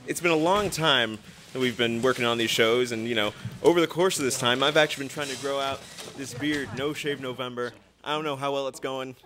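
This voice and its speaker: Bright, rambling young male emcee. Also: medium pitch, slight background noise. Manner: rambling, self-deprecating. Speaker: host of student radio theater show. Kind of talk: ad-libbed emceeing with jokes. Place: small studio. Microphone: standing microphone.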